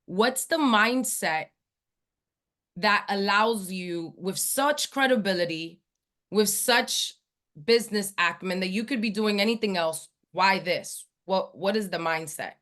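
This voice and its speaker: A woman's voice: mid-range voice, a little slow, fairly bright tone. A woman hosting an online training call, talking with enthusiasm and building hype in an emphatic way.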